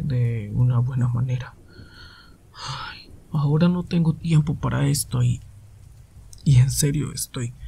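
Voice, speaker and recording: A man playing a tired, gloomy, reserved hero teacher in an anime roleplay, with a subdued, breathy voice. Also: medium pitch, mellow, exaggerated voice. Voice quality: husky, breathy. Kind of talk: anime fan-dub ASMR roleplay.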